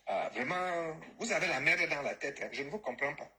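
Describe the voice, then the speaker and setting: Man, heated caller, mocking, mixes in local phrases, bright forceful voice, animated pitch. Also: a little fast, a little hiss or hum. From Cameroon. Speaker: caller, diaspora activist. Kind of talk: heated political rant.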